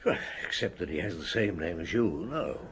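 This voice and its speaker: An actor playing an older, wry, unhurried police chief inspector in a radio drama, musing and gently probing with hums and pauses. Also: male voice, low voice, animated, a little hiss or hum.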